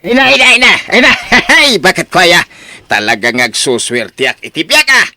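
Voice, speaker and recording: A man with a Filipino Ilocano accent playing an excitable, free-spending husband in a radio drama. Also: high-pitched, moderate pace, dry recording, studio quality, heavily acted. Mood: overjoyed.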